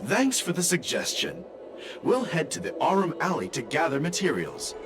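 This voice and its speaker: A man's voice: on the high side, normal speed. A man voicing a polite, businesslike traveling merchant in a game. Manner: courteous, businesslike, expressive. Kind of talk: game voice acting. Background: game soundtrack music.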